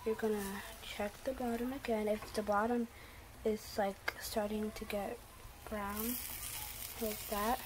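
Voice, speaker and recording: A teenage girl giving a casual, hesitant cooking demo in a home kitchen, filmed on a phone. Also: a little high. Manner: chatty, hesitant with fillers. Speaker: guest cook. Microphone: handheld phone camera. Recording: noisy phone recording.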